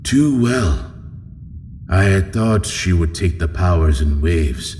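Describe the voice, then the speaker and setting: An actor playing a proud, calculating alien noble serving a warlord, formal and measured, in an audio drama. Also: male voice, low voice, animated, darkish tone, slight background noise. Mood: grave. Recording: long hall reverb, faint ambience.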